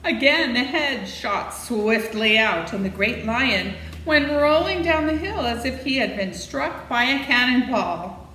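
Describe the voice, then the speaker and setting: A woman reads a children's story with playful, dramatic expression. Her voice is fairly high and bright, moving up and down freely, and she chats to her listeners as she goes. The room has a clear echo. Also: animated, measured pace. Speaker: library storytime reader. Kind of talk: animated children's story reading with asides to listeners. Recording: echoey room with faint noise.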